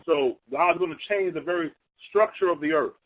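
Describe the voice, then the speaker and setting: A man with a high, husky voice gives an earnest Bible teaching in a relaxed conversational way. He speeds up and lifts his pitch when he makes a point. The recording is muffled and lo-fi. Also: moderate pace.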